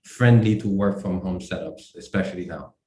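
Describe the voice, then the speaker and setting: A man from Haiti, a company co-founder, explaining his work in a casual interview, halting with many fillers and restarts. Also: low-pitched, dark tone, roomy sound. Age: adult.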